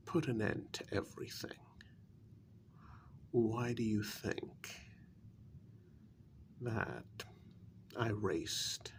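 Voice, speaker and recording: A man playing a menacing, sardonic kidnapper in a soft-spoken ASMR role-play, gravelly and often slipping into whispers, speaking in a halting, deliberate way. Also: fairly low pitch, a little hiss or hum, exaggerated voice. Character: menacing, sardonic male kidnapper interrogating his captive.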